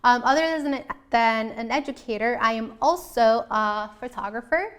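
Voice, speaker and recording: Young woman show host, kinda high really clear voice, chatting to viewers, earnest, repeats herself a bit. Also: moderate pace, fairly bright tone. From US Midwest, born in Colombia. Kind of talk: informal talk to viewers about herself.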